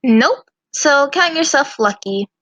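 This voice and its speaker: A woman's voice: high-pitched, expressive, slow pace, fairly bright tone, no echo, exaggerated voice. A woman voicing a plucky, mischievous young student huntress with a breezy, slangy, teasing manner in an amateur visual novel.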